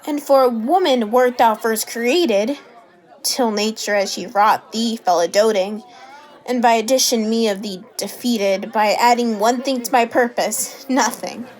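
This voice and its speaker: Slow, higher-voiced woman as a smitten young lover. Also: some chatter behind, natural voice, rough recording. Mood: admiring, smitten. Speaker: student drama performer. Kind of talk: Shakespeare sonnet as dramatic monologue.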